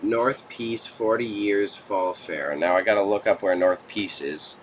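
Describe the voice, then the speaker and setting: A man's voice: fairly low pitch, unhurried, slight background noise, rough recording. A Canadian man chatting casually with viewers as a livestream host, with slangy, joking banter. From Toronto, Canada. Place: small warehouse space stacked with goods.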